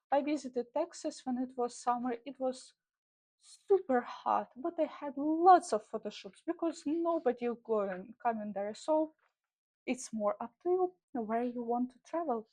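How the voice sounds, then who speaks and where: A woman's voice: on the high side, lively intonation, normal speed, darkish tone, poor audio. A young woman from Ukraine with a Ukrainian accent, talking casually in a vlog in loose, filler-filled English. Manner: chatty, loose non-native English with frequent fillers. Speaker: traveling model.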